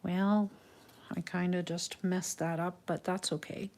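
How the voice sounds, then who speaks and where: A middle-aged Dutch-accented woman with a lowish voice talks viewers through a craft project live. She speaks slowly and musingly, often pausing on an um while she works. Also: flat delivery, a little mellow, a little hiss or hum. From Netherlands. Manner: unhurried, musing, frequent ums. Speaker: craft livestream host.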